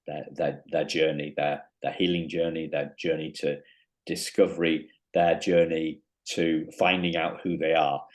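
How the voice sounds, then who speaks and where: A man hosting an interview podcast, talking in a halting way with many restarts and fillers. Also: extremely low pitch, little pitch movement. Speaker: podcast host. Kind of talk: casual interview chat.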